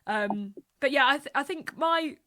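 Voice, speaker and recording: A woman's voice: high voice, animated, a little slow, pushed and bright, a little hiss or hum. A British woman reminiscing in casual conversation, with an effusive way of talking.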